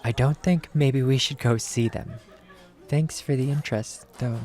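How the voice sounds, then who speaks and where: A man's voice: medium pitch, fairly even intonation, measured pace, a little mellow, close to an everyday voice. A man playing a short-tempered, sarcastic bounty hunter and ex-cop, blunt and dismissive, in an acted film script read. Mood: guarded, weary.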